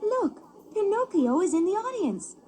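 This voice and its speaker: Storybook stage puppet woman performing: friendly, wooden, gleeful, high animated calls. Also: a little slow, a little hiss or hum, exaggerated voice. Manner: gleeful, calling out.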